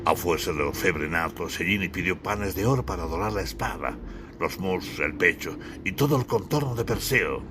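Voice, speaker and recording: A man's voice: sits low, expressive, normal speed, noisy background. A man reading a scripted audiobook in a solemn, literary, declamatory manner. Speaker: author narrating his own audiobook. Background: music.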